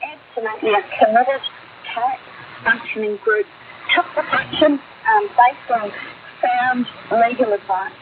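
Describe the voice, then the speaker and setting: A woman with a fairly high voice talks in an interview clip. The shortwave recording is narrow and noisy. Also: unhurried.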